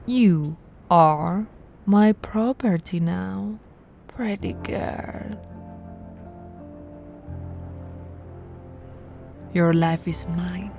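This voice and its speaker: Woman doing a snooty possessive vampire aristocrat, asmr roleplay, slow n bossy, slips into whispers, bit of music behind. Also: on the low side, a little mellow, exaggerated voice. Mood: smug, possessive. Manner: imperious, condescending, theatrical, often dropping to a breathy whisper. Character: haughty, possessive female immortal vampire aristocrat.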